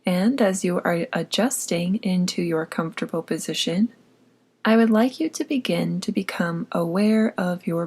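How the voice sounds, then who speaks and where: A woman narrating a guided meditation in a soft, breathy voice, with a soothing, gently instructing manner. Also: on the low side. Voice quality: soft, breathy, often near whisper.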